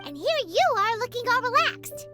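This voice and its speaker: A tiny, cheeky fairy sidekick chatters in an extremely high, childlike squeak. The delivery is animated and exclamatory, like a game cartoon character, with prominent music behind it. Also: female voice, normal speed. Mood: cheeky, teasing. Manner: refers to self in third person, exclamatory. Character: small excitable childlike female fairy companion, cheeky. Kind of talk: animated video game voice acting.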